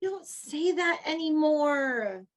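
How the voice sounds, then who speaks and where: Woman acting high-voiced, perky young graduate. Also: animated, slow pace. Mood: defensive. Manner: girlish slang, emphatic. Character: Madison, perky, agreeable young graduate, earnest homebody. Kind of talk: online play reading, comedic dialogue.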